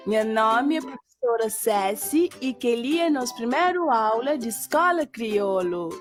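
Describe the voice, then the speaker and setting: A woman's voice: fairly high pitch, expressive. A woman playing a cheerful, patient schoolteacher in a children's cartoon lesson, speaking with a Cape Verdean accent in an encouraging, questioning way. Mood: encouraging, instructive. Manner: slow, instructive, questioning and encouraging. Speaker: teacher. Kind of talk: scripted children's language lesson. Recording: children's music under the voice. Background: music for children.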